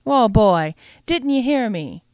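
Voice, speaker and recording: A woman's voice: a little high, expressive, slow, clean tone, no echo, lo-fi sound, voice pushed up. Woman voicing a brassy, teasing older woman. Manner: folksy dialect, mocking drawl.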